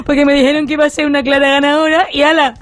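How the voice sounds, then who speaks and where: A woman's voice: sits high, little pitch movement, very fast, close to an everyday voice. A woman playing a flustered, devout village wife in a comic radio sketch, rambling and repeating words with nervous verbal tics. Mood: indignant. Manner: rambling, repeats words, recites lists when agitated.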